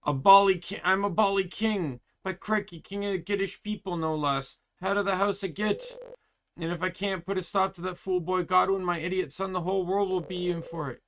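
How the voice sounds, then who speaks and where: A man's voice: high voice, fairly even intonation, normal speed, fairly bright tone. Man putting on a pompous, blustering old king. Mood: blustering, exasperated. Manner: pompous, old-fashioned British exclamations. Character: blustering old ghost king, pompous father of a foolish son.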